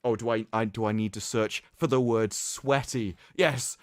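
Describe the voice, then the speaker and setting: A man hosting a livestream, reading aloud in a mocking, theatrical way with sarcastic asides and drawn-out emphasis. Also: medium pitch, steady tone, no room sound, clean studio sound. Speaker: livestream host. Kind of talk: mocking dramatic reading of an article with commentary.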